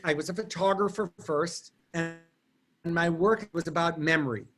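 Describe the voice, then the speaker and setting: Guy w/ german as first language on a video call, kinda high voice, rambly quick stories, lots of restarts. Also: fairly bright tone. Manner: quick, digressive storytelling with restarts. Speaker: guest artist and lecturer. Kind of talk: answering questions in an online talk.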